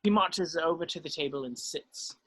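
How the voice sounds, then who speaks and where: A man with a fairly high voice reads stage directions as narrator at a script table read. The delivery is scripted narration, setting out scenes and actions. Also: moderate pace, a bit bright, breathy edge.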